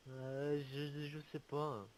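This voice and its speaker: A man's voice: on the high side, monotone, takes it slowly, a little mellow. A man improvising as a witness in an online roleplay, speaking hesitantly and repeating himself. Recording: noisy game voice chat.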